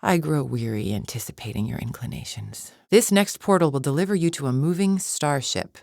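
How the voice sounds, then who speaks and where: A proud female alien gladiator for a video game. Her voice sits low, with formal, scornful diction and an impatient, superior temper. The sound is dry and close, free of room echo. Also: lively intonation, fairly quick, gravelly, darkish tone. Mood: scornful impatience. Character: haughty, impatient alien warrior woman, proud arena champion. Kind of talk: video game character acting.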